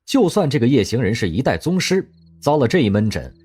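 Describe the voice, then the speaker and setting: A man narrating an audio drama with expressive storytelling. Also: mid-range voice, fairly quick, slightly rough. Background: faint music.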